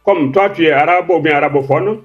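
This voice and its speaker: High-voiced guy on a muffled call, keeps pushing his question, faint music. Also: brisk. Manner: insistent, repeats polite requests. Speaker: caller in a live debate. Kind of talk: casual debate questioning.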